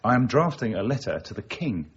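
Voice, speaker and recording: An actor in a period radio drama playing a brazen, ingratiating swindler who talks with glib, flattering courtesy. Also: male voice, mid-range voice, brisk, husky, dull audio. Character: smooth, brazen male swindler and counterfeiter, ingratiating.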